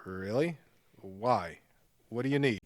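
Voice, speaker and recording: A man's voice: lowish voice, slow pace, dry recording, clean studio sound. A man acting in an audio play as a sardonic, teasing young adult son, a rebellious rock guitarist. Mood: sarcastic.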